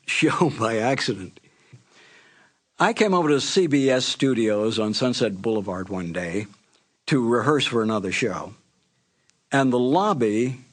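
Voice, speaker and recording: Older guy, ex radio announcer, telling old stories, easy chatty way, fixes his words as he goes. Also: medium pitch. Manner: relaxed, conversational storytelling with small self-corrections. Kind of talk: reminiscing anecdote.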